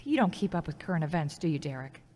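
A woman playing a confident, ambitious radio journalist with a teasing, warm manner in an audio drama. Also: low voice, sing-song, fast talker. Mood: proud, probing. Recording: echoey hall-like reverb.

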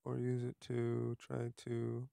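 A man leading a guided meditation outdoors, speaking softly and haltingly, with unhurried instructions and long pauses. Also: mid-range voice, completely flat delivery, vocal fry, clean tone, no room sound, clean studio sound.

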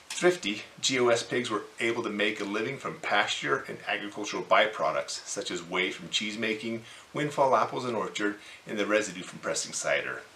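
A man in his forties hosting a farming video, reading scripted informational narration in measured phrases. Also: on the low side, pushed and bright, reverberant, slight background noise, rough recording. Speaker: host of a hobby farming channel.

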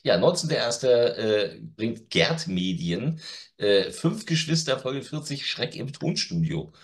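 A man's voice: medium pitch, very animated, unhurried. A man in his forties co-hosting a casual chat about new releases, opinionated and blunt, often interrupting himself. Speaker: co-host of an audio drama news show. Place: living room at home, on a video call.

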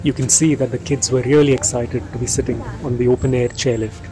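A man giving scripted voice-over narration for a travel vlog, in a measured, informative way. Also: mid-range voice, fairly even intonation, over music, rough recording.

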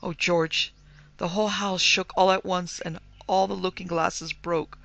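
A man's voice: high-pitched, moderate pace, creaky, fairly bright tone, full character voice. A man voicing an anxious, tearful middle-aged wife and mother in an audiobook, pleading dramatically. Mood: wailing, pleading. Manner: sobbing, wailing, dramatic pleading. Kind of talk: audiobook character acting.

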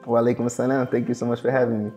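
A young man from Morocco, raised in Seattle, answering interview questions in a halting, soft-spoken way with many fillers and restarts, in a studio. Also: mid-range voice, level tone, faint music behind. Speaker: guest, performing artist. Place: dark studio set.

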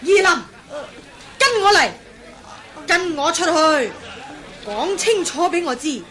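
An actress with a Hong Kong Cantonese accent playing a short-tempered, commanding Buddhist nun master in a radio drama. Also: female voice, extremely high pitch, sing-song, slow pace, fairly bright tone, some chatter behind, exaggerated voice. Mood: angry. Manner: commanding, scolding. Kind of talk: 1980s radio drama acting.

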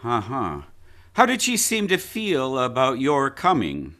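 A man's voice: mid-range voice, huge pitch swings, measured pace, pushed and bright, exaggerated voice. A man playing an officious county attorney in an audio drama, probing and cutting in as he questions a witness. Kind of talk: live audio stage drama.

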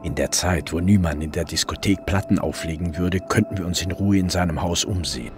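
An actor playing a brooding middle-aged police detective, narrating his case in a measured way for a crime radio drama. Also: sits low. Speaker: narrator and lead investigator. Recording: music bed under voice. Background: music.

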